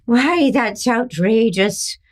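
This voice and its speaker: A woman's voice: a little high, slow pace. A woman playing a sly, folksy older outlaw leader who poses as a churchgoer in an old-time western radio drama. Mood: mock-innocent indignation. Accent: Irish brogue, later Texan. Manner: indignant, theatrical, folksy.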